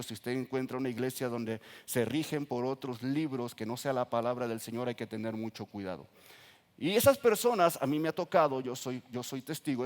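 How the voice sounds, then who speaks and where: A man with a Mexican accent, a pastor preaching earnestly to his congregation and reading scripture slowly with emphasis. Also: mid-range voice. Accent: Mexican Spanish. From Nayarit coast, Mexico. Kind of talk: sermon. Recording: reverberant church hall.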